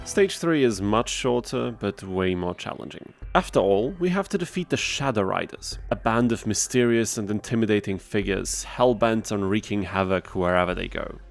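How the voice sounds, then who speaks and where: A man's voice: on the low side, expressive, moderate pace, vocal fry, few pauses. A man narrating gameplay from a script as a video game YouTuber, in a wry, sarcastic manner. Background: music.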